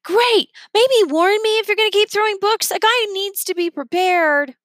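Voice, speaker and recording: An excitable young boy sidekick acted by a woman reader from a children's storybook. The voice is pitched well up, exclamatory and wisecracking, with a dry, close sound. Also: normal speed, no echo. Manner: exclamatory, animated.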